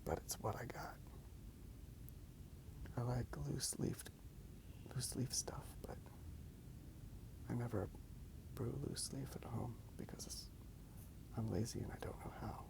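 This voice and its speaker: A man rambling softly in a breathy ASMR whisper, unhurried and halting as he comments on his drawing. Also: medium pitch, steady tone. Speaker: host of a drawing ASMR channel.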